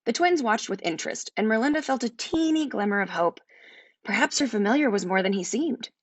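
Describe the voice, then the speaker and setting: Woman, children's audiobook narrator, storytelling, very lively intonation, dry close recording. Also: fairly high pitch, fairly quick, no echo. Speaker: author reading own book aloud.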